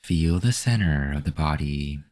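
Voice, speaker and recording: A man narrating a guided meditation as the guide, in a measured, instructional manner. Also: a bass voice, lively intonation, darkish tone.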